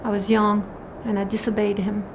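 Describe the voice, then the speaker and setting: An earnest young woman played by an actress with a medium-pitched voice and a blunt, serious delivery. She sounds like a guarded audio drama adventurer, with clear ambient noise around her. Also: monotone, moderate pace, close to an everyday voice, low-quality recording. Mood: guarded, sincere.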